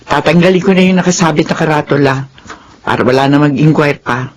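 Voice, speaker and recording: An actress with a Filipino accent playing a chatty, nosy but soft-hearted landlady in a radio drama. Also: low voice, fast. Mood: curious. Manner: inquisitive, familiar.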